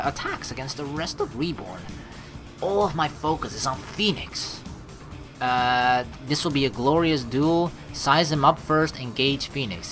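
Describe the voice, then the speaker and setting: A man reading a game aloud as a let's play host, with excitable commentary and dramatic narration. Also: a little high.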